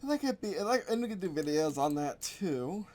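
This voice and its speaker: A man's voice: sits high, big pitch swings, fairly quick, darkish tone, a little hiss or hum. A man casually thinking aloud at his computer as he reworks his game rules, rambling and correcting himself as he goes. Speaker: game designer streaming his work.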